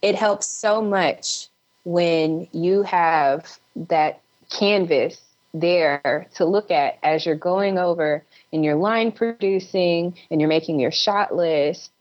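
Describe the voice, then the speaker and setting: Female guest, deep for a woman, very unhurried and deliberate, casual, dull recording. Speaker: filmmaker guest. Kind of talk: casual interview advice.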